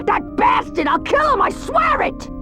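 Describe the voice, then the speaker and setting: An actress playing a vengeful, foul-mouthed old crime family matriarch in a video game, screeching threats. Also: female voice, very high-pitched, very animated, a little slow, sharp tone, faint music behind, full character voice. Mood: enraged. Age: older. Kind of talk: video game cutscene acting.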